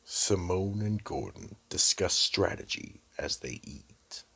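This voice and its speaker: An extremely low-pitched man narrates a horror radio drama with heavy gravel in his voice. His delivery is dramatic and ominous, swinging widely in pitch. There is faint noise behind him. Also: animated, slow, pushed and bright.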